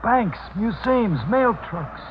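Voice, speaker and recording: A man's voice: high-pitched, expressive, slow pace. An actor playing a tough, loyal gangster henchman with slangy talk in a 1940s radio crime drama. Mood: admiring, insistent. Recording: thin, phone-like old radio recording, faint noise.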